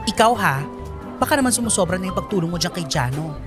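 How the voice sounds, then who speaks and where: A woman's voice: on the low side, lively intonation, quick pace, over music, close to an everyday voice. A young woman with a Filipino accent acting a nosy, teasing, complaining college best friend in a radio drama, full of exclamations. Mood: teasing, suspicious.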